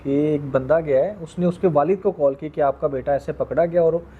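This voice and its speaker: A man with a Pakistani accent hosting a casual interview, attentive and quick to agree in short interjections. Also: a little high, breathy edge. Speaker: podcast host. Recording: dull, muffled sound.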